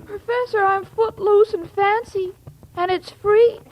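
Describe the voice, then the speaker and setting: A woman's voice: extremely high pitch, steady tone, measured pace, muffled recording. An actress playing a sweet, dim-witted young woman in an old radio sitcom, earnest and naive, with a girlish voice. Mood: puzzled. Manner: naive, earnest delivery of muddled sayings. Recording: noisy old radio recording, loud audience.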